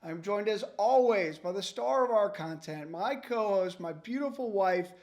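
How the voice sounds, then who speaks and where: A man's voice: high voice, normal speed. A man hosting a local podcast, reading an upbeat intro and then chatting casually with his co-host. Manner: upbeat scripted intro, then relaxed chat.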